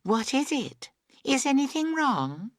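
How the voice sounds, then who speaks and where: A man's voice: extremely high pitch, sing-song, slow, a bit bright, no echo, studio quality, voice pushed up, exaggerated voice. A man voicing silly, oblivious young women in a storybook reading. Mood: puzzled, calling.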